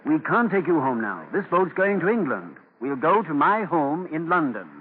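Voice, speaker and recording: Polite trader gent, animated highish voice, old radio drama. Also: male voice, moderate pace. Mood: kindly. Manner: polite, reasonable. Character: kindly, shrewd English merchant gentleman. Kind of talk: 1940s radio acting. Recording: tinny, hissy 1940s radio broadcast.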